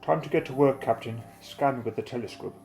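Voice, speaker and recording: Male actor as methodical airship pilot, radio play. Gravelly creak, medium pitch, measured and unflappable. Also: a little mellow, faint music behind, close to an everyday voice. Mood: businesslike. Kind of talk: audio drama acting.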